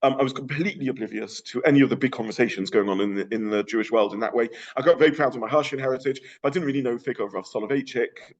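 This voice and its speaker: A man's voice: mid-range voice, very fast, hardly any pauses, highs cut off. A middle-aged man with a London accent giving an informal lecture, thinking aloud with frequent restarts. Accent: London English. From England.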